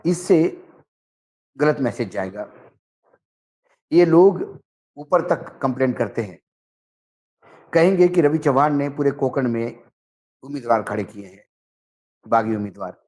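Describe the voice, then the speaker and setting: A man giving political commentary, speaking emphatically and deliberately in short phrases as he recounts dialogue. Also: medium pitch, expressive, darkish tone. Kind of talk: political commentary, narrating and translating a reported conversation.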